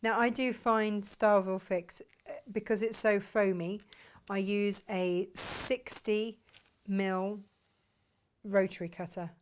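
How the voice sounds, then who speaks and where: Craft host, woman, mid-pitched, slightly croaky, casual and self-deprecating as she works. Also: steady tone, slow. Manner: chatty, self-deprecating, talks to viewers while working. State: croaky from hay fever. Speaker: sewing tutorial host.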